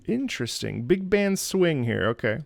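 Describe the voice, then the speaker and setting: Man, music reaction host, enthusiastic, sings along, gravelly, dry recording. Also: a little high, sing-song, normal speed, dry recording. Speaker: opera singer. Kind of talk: casual music analysis.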